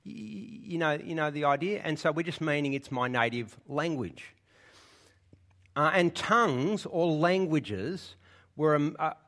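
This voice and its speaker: A man's voice: on the high side, a bit bright. A man preaching a sermon in church, explaining the passage in a conversational way and often checking in with his listeners. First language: English.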